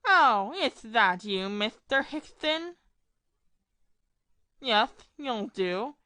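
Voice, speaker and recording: Slow, polite gentleman impostor, done by a woman. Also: fairly high pitch, lively intonation, no echo, studio quality, heavily acted. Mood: sly, probing. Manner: polite, inquisitive. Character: man slyly imitating another gentleman on telephone. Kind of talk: audio play acting.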